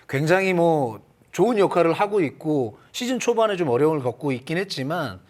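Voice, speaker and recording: Casting: male sports analyst, high voice with animated intonation, explanatory panel talk. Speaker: baseball analyst.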